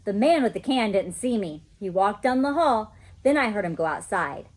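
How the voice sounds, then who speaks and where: A woman reading a children's story aloud in an expressive storytelling style, like a teacher. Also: medium pitch, moderate pace.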